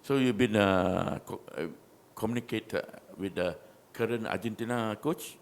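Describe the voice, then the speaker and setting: A man's voice: on the low side, normal speed, creaky, a little hiss or hum. A male journalist asking long, rambling questions at a press conference.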